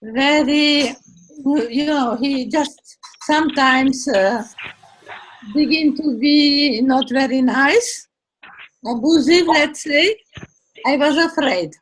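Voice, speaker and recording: An older woman giving a personal storytelling speech in a deliberate, reflective way. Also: high-pitched, low-quality recording. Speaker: club meeting speaker.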